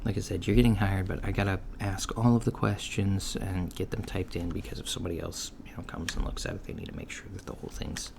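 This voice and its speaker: A man playing an easygoing, reassuring alien job interviewer in a soft-spoken ASMR roleplay, talking casually and haltingly and trailing off. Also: low voice, steady tone. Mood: relaxed, hesitant. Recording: lo-fi with clear background noise. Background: computer keyboard typing.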